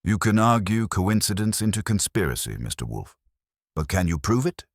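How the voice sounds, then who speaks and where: A man's voice: fairly low pitch, very animated, normal speed, no room sound, clean studio sound, pitched above the performer's own voice. A man reading the part of a composed, mocking villain with a polished, challenging manner in an audio mystery. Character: smooth-talking male villain, composed and mocking.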